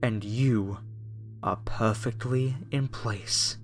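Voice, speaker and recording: A male actor plays a devout cult fanatic in a horror ASMR piece. He is a man of medium pitch who speaks slowly and solemnly, with a menacing tenderness. Faint music sits behind the voice. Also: fairly even intonation. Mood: solemn, tender. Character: soft-spoken male cult zealot, eerily gentle, fervently devout.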